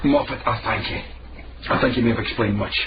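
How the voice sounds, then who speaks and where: An actor playing an urgent, probing investigator in a period radio mystery, with a clipped, questioning way of talking. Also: male voice, mid-range voice, brisk, lightly acted. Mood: intent. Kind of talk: period radio drama acting. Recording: muffled, hissy old-radio style recording.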